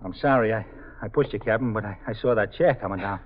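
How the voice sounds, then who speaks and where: Actor as a level-headed police sergeant, vintage radio. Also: male voice, lowish voice, quick pace, a little hiss or hum, lightly acted. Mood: apologetic. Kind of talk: 1950s radio police drama acting. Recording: dull, band-limited 1950s radio recording.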